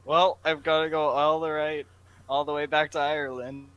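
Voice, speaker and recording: A man voicing a loud, brash, friendly young Irishman in an amateur story reading. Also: fairly high pitch, level tone, moderate pace, clear, resonant tone, dry recording, highs cut off, voice pushed up, lightly acted. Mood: grumbling.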